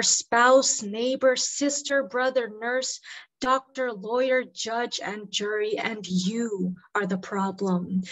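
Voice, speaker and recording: A woman reading a poem aloud at an open mic, with a deliberate, emphatic delivery. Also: fairly high pitch, rough recording. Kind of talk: poetry reading.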